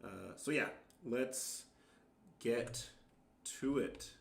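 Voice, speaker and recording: A man chatting casually and self-deprecatingly as an amateur worship musician on a livestream, in a halting, rambling, apologetic way. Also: medium pitch, creaky. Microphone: microphone shared with guitar. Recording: roomy, with guitar music.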